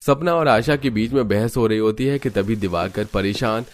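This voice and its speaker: A man's voice: on the low side, moderate pace, slight background noise. A man narrating a scripted cartoon family story.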